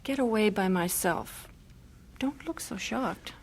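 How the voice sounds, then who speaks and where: A tired yet determined missionary mother in an audio drama. She is earnest and plain-spoken, in a mid-pitched female voice. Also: lively intonation, takes it slowly, slightly rough, exaggerated voice. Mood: weary, determined. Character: weary but determined missionary mother back from China.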